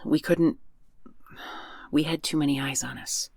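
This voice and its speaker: A woman's voice: deep voice, steady tone, a little slow, creaky, a little mellow, no echo, clean studio sound, exaggerated voice. A woman playing a brash, sarcastic, impatient rescuer with a blunt manner in an audio roleplay. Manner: blunt, sardonic.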